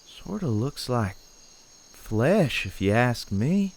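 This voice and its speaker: A man's voice: mid-range voice, sing-song, slow pace, full character voice. A man playing a troubled, plain-spoken farmer in an audio horror play, hesitant, folksy and understated. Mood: uneasy, hesitant. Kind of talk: audio horror drama acting. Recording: insect-like ambient noise behind dialogue.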